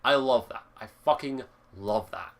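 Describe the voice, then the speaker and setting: A young man from Scotland with a Lowland Scottish accent, reviewing a video game in a casual, enthusiastic monologue full of swearing and restarts. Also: unhurried.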